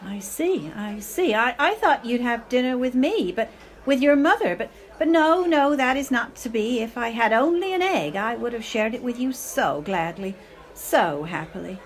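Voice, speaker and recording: A woman's voice: a little high, expressive, moderate pace, lightly acted. A woman acting a melodramatic, self-pitying, clinging middle-aged mother in a radio play, with theatrical, affected speech. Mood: wounded, pleading. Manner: theatrical, affected, sprinkles in foreign phrases.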